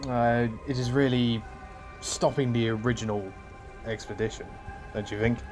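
A man's voice: mid-range voice, steady tone, normal speed, music in the background, natural voice. A man playing a sceptical expedition crew member in an audio drama, hesitant and conversational. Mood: doubtful. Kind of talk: dramatic audio play acting.

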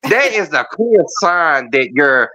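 A man's voice: fairly high pitch, sing-song, slow pace, bright, forceful tone. A man calling in to a chat show, with a feminine-sounding voice, talking bluntly and rambling with plenty of swearing. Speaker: caller. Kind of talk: casual call-in chat. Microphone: video call. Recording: muffled call audio.

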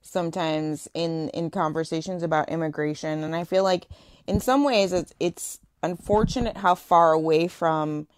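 A woman's voice: sits low, moderate pace, dry recording. A woman hosting a radio talk show, asking thoughtful, conversational questions. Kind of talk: radio interview.

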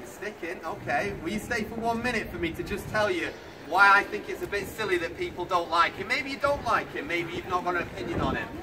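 A man's voice: high voice, animated, fairly quick, few pauses. A man in his thirties with a British accent, preaching in the open air on a town pavement and quizzing passers-by directly. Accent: British English. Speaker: street preacher. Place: outdoors on a town-centre pavement. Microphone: far camera. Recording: distant voice with loud street noise.

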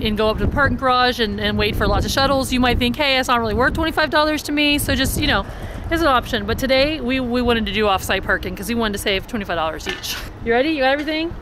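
A middle-aged woman chatting casually to viewers as a travel vlogger, in an excitable, rambling way. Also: a little high. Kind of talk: casual vlog chat to viewers. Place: supermarket, parking lot, shuttle bus. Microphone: handheld camera at arm's length. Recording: noisy action camera on location.

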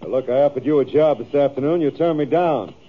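An American actor playing a gruff, stubborn rancher boss in a radio western, speaking curtly and with command. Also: male voice, medium pitch, fast, natural voice. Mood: curt, defensive. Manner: curt, commanding. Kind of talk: 1950s radio western acting. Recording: tinny, band-limited old radio recording.

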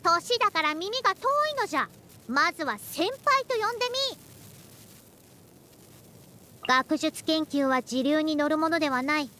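A woman's voice: sits high, animated, moderate pace, sharp tone. A woman voicing a proud, bossy game character, a youthful-looking century-old scholar with girlish tones and old-fashioned elder speech. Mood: huffy, indignant. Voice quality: girlish voice with elderly turns of phrase. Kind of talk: Japanese anime-style game voice acting.

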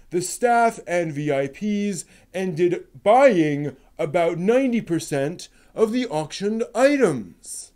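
A man acts out an indignant puppet fan in a comic dramatic reading. The voice is dark and mellow, swinging widely in pitch. Every grievance is declaimed slowly, with heavy emphasis. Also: full character voice. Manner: slow, emphatic, aggrieved declaiming.

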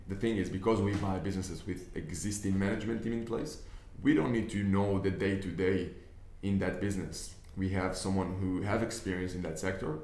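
A man's voice: low voice, a little mellow. A young man from Israel with an Israeli accent, whose first language is Hebrew. He talks earnestly to a camera from a desk indoors, explaining his ideas with many restarts. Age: young adult. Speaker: business investor. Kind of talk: casual talk to camera. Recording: echoey room.

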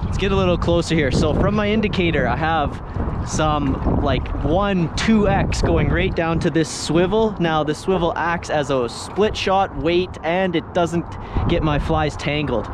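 Brief: young Canadian guy, somewhat high voice, fishing vlogger, chatty and explaining things, action cam audio, wind and traffic noise behind. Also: unhurried. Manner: chatty, coaxing the fish, explaining the rig step by step. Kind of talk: casual outdoor vlog narration. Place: on a river outdoors in wind, fishing from a small float boat. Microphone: action camera mounted on the boat.

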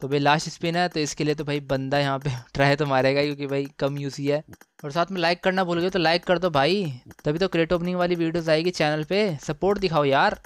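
A man giving casual, excited gameplay commentary, slangy and exclamatory, repeating phrases for emphasis. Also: on the high side, a little fast, clear, resonant tone. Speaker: gaming channel host.

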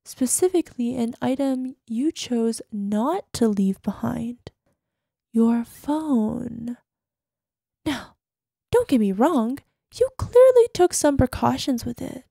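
A woman's voice: fairly high pitch, big pitch swings, slow pace, dark tone, dry recording, clean studio sound, heavily acted. A woman playing an obsessive, possessive girlfriend in an ASMR roleplay voicemail, sweetly menacing, dropping into whispers. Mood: tense, sardonic. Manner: breathy asides, drops into whispers, syrupy pet names.

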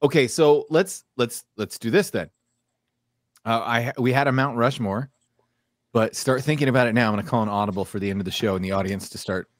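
A middle-aged American man hosting a casual podcast, swearing freely and often halting mid-sentence. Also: mid-range voice, no room sound. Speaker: podcast host. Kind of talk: casual chat. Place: small room at home, on a video call.

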